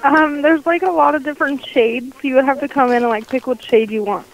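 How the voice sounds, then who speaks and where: A woman's voice: high voice, steady tone, a little fast. A woman working as a store clerk, handling a customer over a dull, noisy telephone line. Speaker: drugstore phone clerk. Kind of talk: customer service phone talk.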